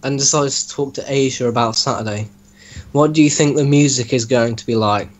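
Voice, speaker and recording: A man talking casually as an interviewer, with a deadpan, mock-serious teasing manner. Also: mid-range voice, fairly quick, faint music behind. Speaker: host interviewing a story's author. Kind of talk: casual joking chat with reading aloud.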